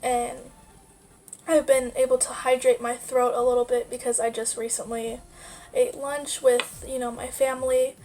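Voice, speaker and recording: A woman's voice: sits high, little pitch movement, normal speed, mellow. A young woman vlogger with a stuffy nose from a sinus infection, chatting casually and rambling with many fillers. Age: young adult. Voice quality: nasal. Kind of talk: casual life-update chat.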